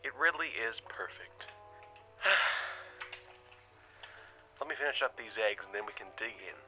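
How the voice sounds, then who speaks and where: A man playing a mild-mannered, sceptical astronomer in an audio drama, speaking in a measured way. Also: lowish voice, lively intonation, pushed and bright, faint music behind, full character voice, low-quality recording. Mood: warm, content. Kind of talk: modern audio drama acting with spoken log entries.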